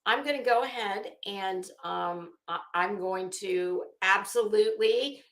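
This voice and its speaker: A woman hosts a casual live chat from a room with plenty of echo. Her talk is gossipy and informal, full of coy asides and chuckles as she addresses her viewers. Her pitch sits in an average range for a woman. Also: measured pace, a bit bright. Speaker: livestream host. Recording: roomy, echoey room sound.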